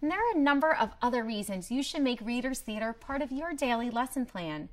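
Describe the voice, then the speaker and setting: A woman presenting a promotional training video in a scripted, clearly structured explanatory way. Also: fairly high pitch, lively intonation, fairly quick. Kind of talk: scripted instructional narration.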